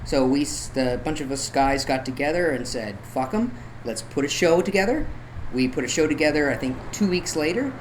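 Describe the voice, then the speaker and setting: A man's voice: fairly high pitch, expressive, normal speed, a little hiss or hum, low-quality recording. A man chatting casually as an interview guest, blunt, joking and foul-mouthed. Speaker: podcast guest, local artist. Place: art gallery, recorded on location.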